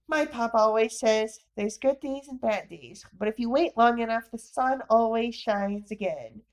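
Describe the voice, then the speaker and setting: A woman puts on a young boy for a storybook reading. He is brash and playful with a big heart, pitched high and talking in a folksy, unhurried way. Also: natural voice. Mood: cheerful, consoling.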